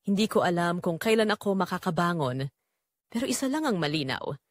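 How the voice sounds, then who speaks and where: A woman's voice: fairly low pitch, sing-song, brisk, no echo. A woman reading dramatic first-person scripted narration in an emotional, theatrical way.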